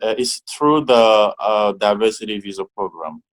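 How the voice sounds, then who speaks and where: A man's voice: on the low side, normal speed, low-quality recording. A young man from Togo answering interview questions, with many restarts and fillers, on a video call. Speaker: interview guest.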